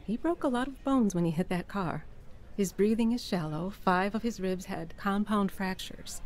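An actress playing a calm hospital doctor who delivers grave news in a measured way. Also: female voice, mid-range voice, close to an everyday voice.

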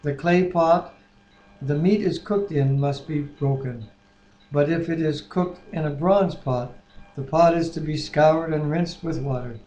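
A man in his sixties reading scripture aloud in a measured, deliberate way, with brief bits of chat. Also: fairly high pitch, dark tone, highs cut off. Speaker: Bible reader and co-host. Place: living room at home, indoors. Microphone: webcam at arm's length. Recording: roomy, echoing webcam sound.

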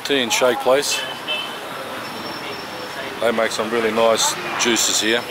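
A man's voice: mid-range voice, fairly even intonation, slow. A middle-aged man, chatting casually to a handheld camera as he tours a cafe and hotel, recommending places. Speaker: travel vlogger. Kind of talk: casual travel vlog. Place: cafe, street and hotel lobby. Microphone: handheld camera at arm's length. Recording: loud street and room noise.

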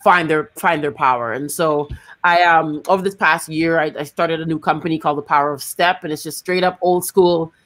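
A woman's voice: low voice, steady tone, fairly quick, a bit bright. A middle-aged woman from Canada, chatting emphatically as she hosts a music workshop over a video call from a home room. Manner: chatty and emphatic, talks with her hands. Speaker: singer hosting a music workshop. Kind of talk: casual video-call chat. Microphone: studio microphone on a video call.

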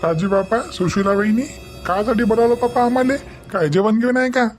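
Comic village story: teasing, grumbly brother-in-law played by a man, high-pitched voice, rural Vidarbha Marathi, folksy repetition. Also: a little fast, faint music behind, close to an everyday voice. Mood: teasing, hopeful.

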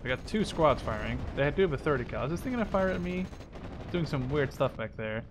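A man's voice: medium pitch, expressive, fairly quick, background noise. A man in his twenties thinking aloud as he plays a strategy game, muttering casual commentary with some swearing. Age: 20s. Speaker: gamer commentating own play. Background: game gunfire and artillery.

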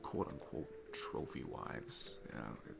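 A man's voice: takes it slowly, heavy background noise, close to an everyday voice. A man playing a sweet, nervous single dad in an intimate ASMR roleplay, rambling and hesitant in a casual, self-deprecating way. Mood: earnest, awkward.

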